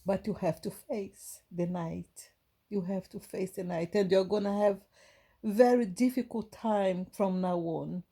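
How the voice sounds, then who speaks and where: Woman with a brazilian portuguese accent, slow gentle spiritual talk, mellow tone, halting restarts. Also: medium pitch, steady tone, breathy edge. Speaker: spiritual vlogger. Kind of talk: inspirational talk to camera.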